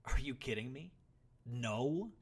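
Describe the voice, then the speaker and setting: Man acting a defiant boy fighter, fantasy audiobook, proud, indignant, argumentative, raised animated pitch. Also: a little fast, vocal fry, husky, full character voice. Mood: seething. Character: defiant, hot-headed boy warrior trainee, frustrated and proud.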